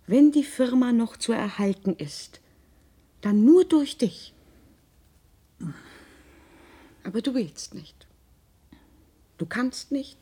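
Husky, steely middle-aged woman. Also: medium pitch, warm tone. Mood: grave, restrained. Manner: controlled, deliberate, pointed. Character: cool, steely wife and mother, calculating. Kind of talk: German radio drama acting.

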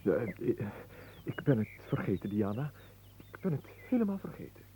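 An actor playing an earnest, slow-witted lovesick suitor in a radio play, hesitant, plaintive and easily hurt. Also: male voice, medium pitch, sing-song, mellow. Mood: resigned. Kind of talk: Dutch radio play acting. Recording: muffled, noisy old radio recording.

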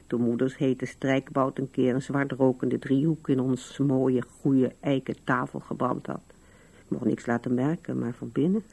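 An actress playing an old widow in a radio play, musing wistfully to herself and to absent loved ones. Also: female voice, extremely low pitch, gravelly, mellow, exaggerated voice. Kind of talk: radio drama monologue.

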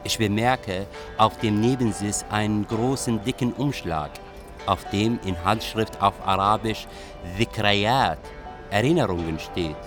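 A man playing a reflective train traveller and writer, narrating in a measured, literary radio drama manner. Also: lowish voice, over music, close to an everyday voice. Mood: observant.

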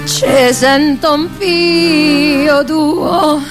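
A woman's voice: high voice, fairly even intonation, very slow. A woman with a Roman accent singing traditional folk songs, accompanied by acoustic guitar and accordion. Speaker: singer.

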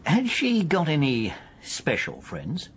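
Casting: helpful policeman in radio drama, highly varied intonation, plain-spoken, faint background noise. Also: wildly swooping pitch, slow pace, full character voice. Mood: inquiring. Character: helpful, businesslike policeman assisting an investigation.

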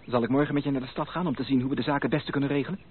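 An actor playing an ardent, determined gentleman suitor, emphatic and romantic, in a Dutch radio play. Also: mid-range voice, steady tone, racing, lightly acted. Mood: resolute, brisk. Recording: narrow, noisy old radio play recording.

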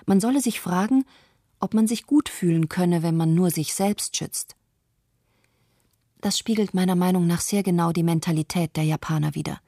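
A thoughtful mother played by a woman narrates in a radio play, measured and troubled by guilt. Her voice is on the low side and softly dark, recorded close and dry. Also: dry recording. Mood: troubled, pensive. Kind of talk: scripted first-person narration in a radio documentary play.

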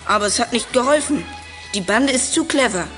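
A bold young boy detective in a children's audio drama. The voice is fairly high, earnest and decisive, with clear music behind it. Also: animated, brisk, fairly bright tone. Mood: insistent. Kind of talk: 1980s German children's audio drama acting.

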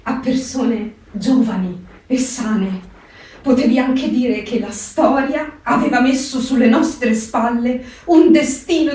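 An actress playing a reflective young wartime diarist in a solemn, measured theatrical reading with dramatic pauses. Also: female voice, high-pitched. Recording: very echoey hall, distant microphone.

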